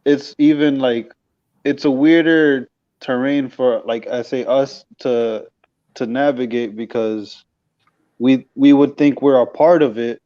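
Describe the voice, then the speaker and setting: Podcast guest, soft-spoken man, mellow dark tone, hesitant delivery that trails off. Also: mid-range voice, steady tone, takes it slowly, highs cut off. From Dominican Republic heritage. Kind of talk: casual podcast discussion.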